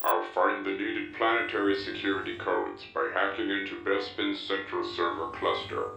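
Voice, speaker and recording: Deep, echoing, emotionless droid. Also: male voice, expressive, moderate pace, creaky, far from the mic. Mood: detached efficiency. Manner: clipped, mechanical, precise. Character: emotionless, efficient male-voiced assassin droid. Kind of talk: video game voice acting. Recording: echoey game audio under loud music.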